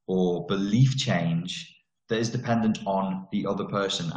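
Slangy low-voiced man coaching. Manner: blunt, slangy, joking. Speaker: self-development coach. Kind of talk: casual monologue lesson. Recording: echoey room.

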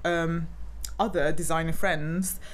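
A woman's voice: low-pitched, fairly even intonation, slow. A young woman from Turkey, a designer, chatting as a podcast guest, rambling and laughing often. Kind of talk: casual interview chat.

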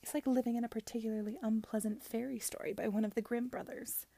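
A scornful young gentlewoman of a period storybook, as a woman reader plays her. She is moody, scheming and used to giving orders. The tone is dark and husky, recorded very dry, with a cutting disdain. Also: on the high side, steady tone, fast, no room sound. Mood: gloomy disdain. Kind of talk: audiobook character dialogue.

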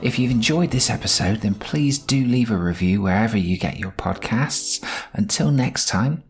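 A man's voice: lowish voice, animated, moderate pace, faint music behind. A man reading scripted end credits as the announcer of an audio drama.

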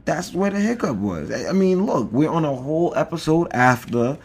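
A man's voice: fairly high pitch, sing-song, normal speed, breathy edge, soft background music. A man co-hosting a podcast in casual chat, rambling and joking with lots of fillers. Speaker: podcast co-host.

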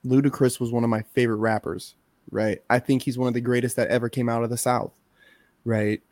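A man's voice: medium pitch, level tone, brisk, darkish tone, no echo. A man co-hosting a podcast, arguing his points emphatically and checking in with "you know what I'm saying?". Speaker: podcast co-host. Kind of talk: casual chat.

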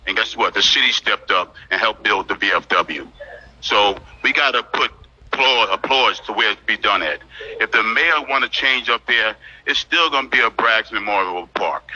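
A man's voice: fairly low pitch, moderate pace, sharp tone. A man giving public comment at a city council meeting, casual and direct. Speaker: public speaker. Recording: dull lo-fi meeting audio.

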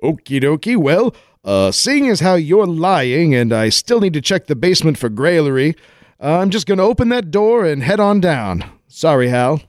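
A man's voice: on the high side, big pitch swings, normal speed, no room sound. A man playing a brash, wisecracking American adventurer in a 1940s-style radio play, folksy and sarcastic. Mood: breezy, cocky.

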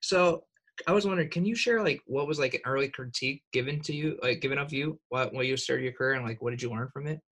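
A woman's voice: very deep voice, quick pace, a bit bright. A young woman interviewing a reporter, asking hesitant questions full of fillers and light laughs.

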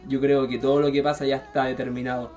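A needy, boastful Chilean teenage boy, played by a young man in a radio drama. The voice is mid-pitched, with faint music underneath. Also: level tone, fast talker, warm tone, natural voice. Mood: brooding. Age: young adult. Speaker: student performer. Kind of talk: radio theatre acting.

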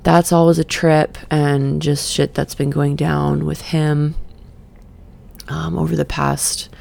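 Sleepy, slow-talking woman with a gravelly voice. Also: sits low, little pitch movement. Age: middle-aged. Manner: soft, slow and meandering, with sighs and fillers. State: sleepy, just woke up. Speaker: podcast host and session coach. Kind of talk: guided breathing then casual reflective talk.

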